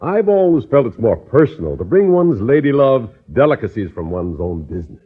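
A man's voice: mid-range voice, sing-song, fairly quick, husky, phone-quality sound. An actor playing a genteel, effusive and easily flustered male suitor in a 1950s American radio sitcom. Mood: gushing. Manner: effusive, hesitant when flustered.

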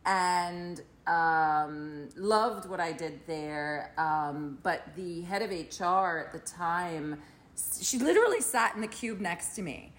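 Low-voiced woman guest from Trinidad. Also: lively intonation, fairly bright tone. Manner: thoughtful, many "um" pauses, laughs easily. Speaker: podcast guest, HR executive. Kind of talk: casual interview chat.

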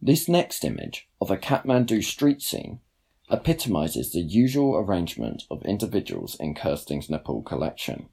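A young man reading a scripted essay aloud in a measured, formal manner. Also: deep voice, creaky, darkish tone, husky. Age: young adult. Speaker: student writer reading own blog post. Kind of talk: scripted narration, read aloud.

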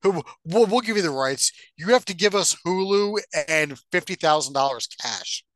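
A man with a bright, slightly high voice co-hosts a podcast. He chats casually with a wry, informal manner, recorded close and dry. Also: expressive, moderate pace, no echo. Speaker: podcast co-host.

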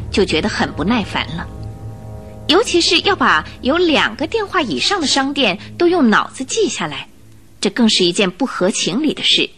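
A woman narrating a radio drama, reading a scripted story in an expressive, dramatic storytelling manner. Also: medium pitch.

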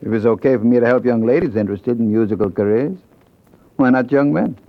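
A man's voice: medium pitch, brisk, close to an everyday voice. An actor playing a smug, slippery male racketeer, oily and taunting, in an old-time radio drama. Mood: mock innocent. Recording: dull vintage radio recording.

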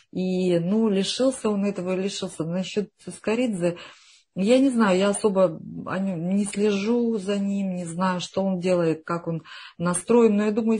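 A woman talking frankly and with strong opinions in a casual podcast conversation, often dropping to a quieter tone. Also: mid-range voice, slightly rough. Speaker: podcast guest.

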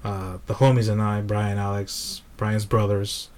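A man who has just turned thirty, telling his story in a relaxed, casual chat full of fillers. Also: fairly low pitch, unhurried. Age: 30s. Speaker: podcast guest.

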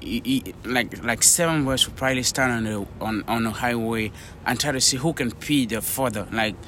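A man's voice: mid-range voice, moderate pace, pushed and bright, a little hiss or hum. A young man from Cameroon, a podcast guest talking earnestly in casual chat with many "like" fillers.

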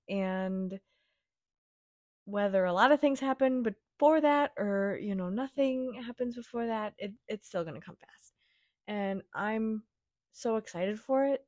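A young woman hosting her own podcast, her voice raspy from a week-long cough, chatting in a gentle, reassuring, rambling way. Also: medium pitch, no echo, clean studio sound. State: raspy from a cold. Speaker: podcast host. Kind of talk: casual solo podcast chat.

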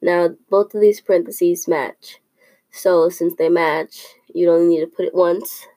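A woman's voice: fairly low pitch, steady tone, mellow, no room sound, poor audio. A young woman with a sniffly, slightly stuffed-up voice, explaining a math lesson for a podcast, thinking aloud as she goes. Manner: slow and careful, thinking aloud with small restarts. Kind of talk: informal classroom podcast explanation.

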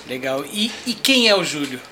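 A man's voice: fairly high pitch, sing-song, moderate pace, background noise. A man hosting a casual podcast interview, friendly, with short affirmations and questions. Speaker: podcast host.

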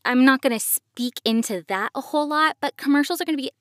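A woman's voice: high-pitched, fast talker, fairly bright tone, no room sound, clean studio sound. A woman hosting a podcast, explaining things to parents in a conversational way. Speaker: podcast host and voice actor.

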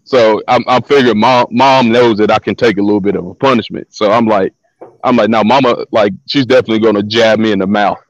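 A man with a deep voice tells stories as a guest on a call-in chat. He talks in a loose, slangy way and often repeats his words. The sound is dull and lo-fi, with a dry, close feel. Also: fairly even intonation, fast talker, dry recording. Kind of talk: casual storytelling interview.

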